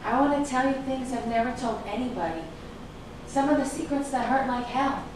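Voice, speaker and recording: A woman's voice: high voice, brisk, natural voice. An actress playing an anxious, worn-down woman afraid of her volatile lover in a staged play reading. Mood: uneasy. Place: echoey indoor room at a staged reading. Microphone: distant microphone. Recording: distant echoey room, clearly noisy.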